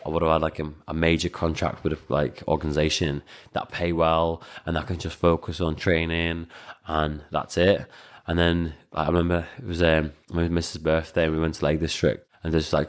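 A Latvian-born man in his twenties with a Scouse accent, a kickboxer and coach talking casually as a guest, full of fillers and restarts. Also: extremely low pitch. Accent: Liverpool (Scouse). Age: 20s. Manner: rambling, many fillers and restarts, acts out quoted speech. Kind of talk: casual interview conversation.

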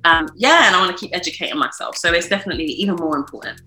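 A woman's voice: on the low side, animated, rapid-fire, pushed and bright, roomy sound, soft background music. A woman musician answering interview questions in a chatty, casual way with many fillers. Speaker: musician guest.

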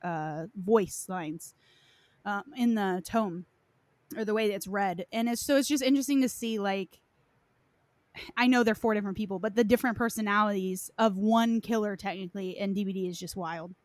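Female, mid-pitched, informal podcast-style chat, very dry recording. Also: normal speed, no echo. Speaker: podcast co-discussant on game lore. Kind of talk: casual chat.

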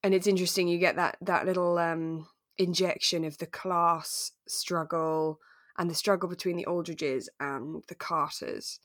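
Woman, low register, relaxed podcast co-host recapping drama conversationally, dry close recording. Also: measured pace, no room sound.

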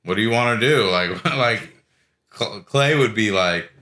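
A man's voice: fairly low pitch, animated, a little slow, pushed and bright. A man chatting informally about sports, as a co-host trading casual remarks with friends. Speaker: podcast co-host. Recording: roomy echo.

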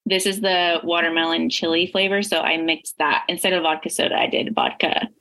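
A woman with a slightly low voice, co-hosting a podcast in relaxed, chatty talk. She laughs easily between remarks. She grew up in Indiana. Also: brisk. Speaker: podcast co-host. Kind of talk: casual chat.

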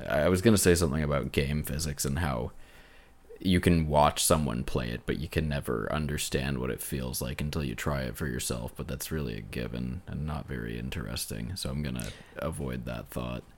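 A man's voice: extremely low pitch, a little fast. A man co-hosting a casual video game podcast, thinking aloud in a tentative way. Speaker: podcast co-host. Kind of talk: casual chat about video games.

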